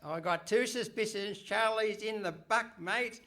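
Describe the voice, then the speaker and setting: A man's voice: sits high, unhurried, sharp tone. A man playing a cheeky, put-upon cab driver in a radio comedy, matey and grumbling. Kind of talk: Goon Show style radio comedy acting. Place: live performance on stage in a hall.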